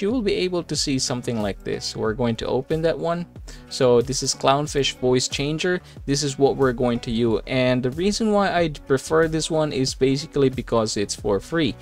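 A man hosting a software tutorial, explaining things step by step in a casual way. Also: medium pitch. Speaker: tutorial host. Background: background music.

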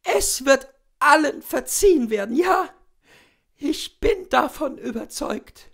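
Man voicing a plaintive dying gentlewoman, pitched high. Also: animated, slow, creaky, exaggerated voice. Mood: lamenting, pious. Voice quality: weak, plaintive. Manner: fervent, dramatic lamenting. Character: dying gentlewoman, pious, self-pitying, fretful and reproachful.

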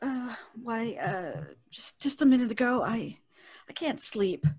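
High-voiced, haunted, troubled wife. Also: female voice, sing-song, a little slow, a little mellow, husky, heavily acted. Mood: distraught. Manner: hesitant, dreamy, dramatic. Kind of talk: old-time radio drama acting.

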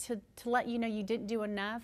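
A woman's voice: on the high side, level tone, fast, darkish tone. A middle-aged woman speaking earnestly and emphatically as an interview guest. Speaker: guest author and homeless advocate. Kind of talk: conversational TV interview. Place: furnished living-room style TV studio set.

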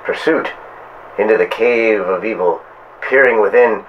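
A man hosting and narrating a pulp horror radio tale in a melodramatic, drawn-out manner. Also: on the low side, lo-fi sound, slight background noise. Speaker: host and narrator.

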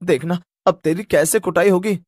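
A man's voice: high-pitched, normal speed, no room sound, studio quality. A man voicing an indignant rich young boy who speaks insistently and stands up for himself in a cartoon story.

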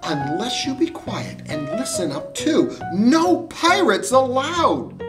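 A man's voice: extremely high pitch, very animated, slow pace, voice pushed up, full character voice. A man voicing a prim, bold woman librarian in a storybook, firm and scolding. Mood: stern. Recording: music playing behind the voice.

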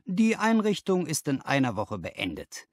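A man voicing a brisk, patronising company boss in an audiobook, businesslike and used to giving orders. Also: fairly high pitch, huge pitch swings, no room sound, clean studio sound, pitched above the performer's own voice, full character voice. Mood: brisk, commanding. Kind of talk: audiobook character dialogue.

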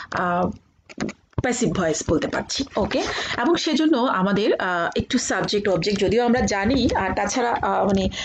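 A woman with a Bangladeshi accent lectures in the explanatory way of a college teacher. Her voice sits at a middling pitch for a woman, and she often pauses on hesitation sounds. Some noise runs behind her in the recording. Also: creaky, highs cut off. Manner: halting, with frequent filled pauses. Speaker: college English grammar teacher. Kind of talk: explanatory online lecture with examples.

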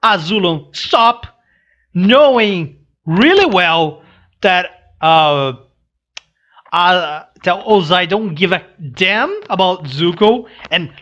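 Slow, halting young man, non-native English. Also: high voice, animated, a bit bright. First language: not English. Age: young adult. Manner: halting, hesitant phrasing. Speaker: reaction channel host. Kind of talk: casual reaction commentary. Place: small room indoors. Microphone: headset mic. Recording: dull lo-fi headset audio.

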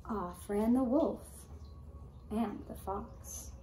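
A woman narrating a scripted children's story in a deliberate way, with dramatic pauses. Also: a little high, warm tone. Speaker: puppet show storyteller. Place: indoor room with draped puppet stage. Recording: roomy echo, faint background noise.

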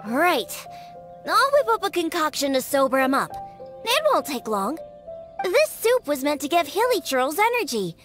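A woman's voice: high-pitched, sing-song, normal speed, a bit bright, faint music behind. A woman voicing a small, exasperated young cat-eared daughter in a video game, scolding and matter-of-fact. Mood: dry, exasperated.

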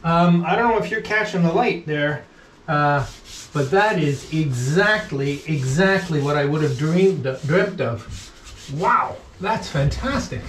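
A middle-aged man casually talking through his hands-on work to the camera, with halting pauses as he goes. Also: on the high side, lively intonation, fairly bright tone. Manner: talks haltingly while working, with pauses. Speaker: vlog host, boat restorer. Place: inside a wooden boat in a shed and at its workbench. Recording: noisy workshop recording with clicks.

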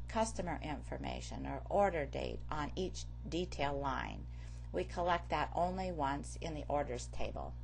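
A woman instructor giving a measured, explanatory lecture over slides. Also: low-pitched, creaky. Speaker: course instructor. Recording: faint background hum.

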